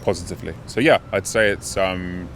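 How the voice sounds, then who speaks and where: A man from the United Kingdom, interviewed outdoors, answering in a measured way with frequent pauses and restarts. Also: low-pitched, a bit bright, a little hiss or hum. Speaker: interviewee, expat. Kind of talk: thoughtful casual answers. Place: outdoors on a city street.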